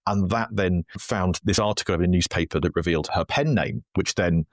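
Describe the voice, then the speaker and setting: A man with a fairly low voice talks casually as an interview guest. He tells his stories in an animated way, slipping into imagined remarks, and the sound is close and dry. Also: a little fast, no room sound. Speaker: writer and podcaster being interviewed.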